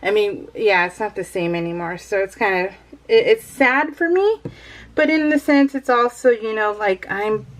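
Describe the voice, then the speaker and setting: A woman's voice: mid-range voice, normal speed, poor audio. A middle-aged American woman chatting casually over her craft project as a vlogger, rambling and easily sidetracked, with a bubbly manner.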